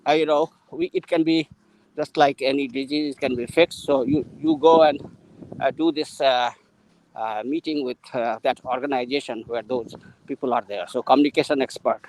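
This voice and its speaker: A man's voice: medium pitch, steady tone, a little mellow, rough recording. A man with an Indian English accent, a native Maithili speaker, casually telling a long story with many fillers and restarts while he walks. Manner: unhurried and rambling, with frequent fillers and restarts while walking. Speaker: guest mentor in an English practice session.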